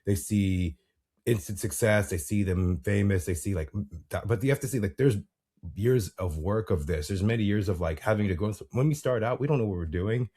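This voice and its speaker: A man with a very low voice and a husky, breathy edge. He hosts a podcast in a loose, rambling way, stacking fillers and restarting his sentences. He grew up in the United States. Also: brisk, mellow. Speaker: podcast host. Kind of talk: casual interview chat.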